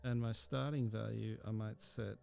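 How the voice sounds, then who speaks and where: A man teaching a music software tutorial, thinking aloud step by step with frequent ums. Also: lowish voice, fairly even intonation, moderate pace, gravelly. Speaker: music technology instructor. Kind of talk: screen-recorded software tutorial.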